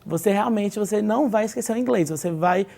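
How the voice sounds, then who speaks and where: Brief: brazilian woman teacher, gravelly and mellow, explains with lots of "né?". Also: medium pitch, fast talker. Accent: Brazilian Portuguese. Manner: explanatory, lots of "né?" tag questions. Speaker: English teacher, studio guest. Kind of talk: TV studio interview, advice.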